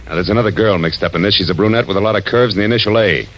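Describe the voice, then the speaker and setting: A man's voice: on the low side, racing, fairly bright tone. A man playing a tough, wisecracking American private detective in a hardboiled 1940s radio drama, clipped and sardonic. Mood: probing. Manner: clipped, hard-boiled, sardonic. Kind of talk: 1940s radio acting, hardboiled first-person narration. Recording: dull vintage radio recording with music.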